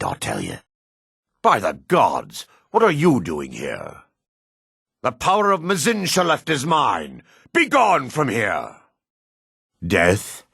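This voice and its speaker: Low, husky dark elf man. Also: no room sound, studio quality, heavily acted. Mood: uneasy, then menacing. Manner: solemn, measured, theatrical delivery. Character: grave dark elf man, solemn and world-weary. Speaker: video game voice actor. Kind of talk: fantasy video game character dialogue.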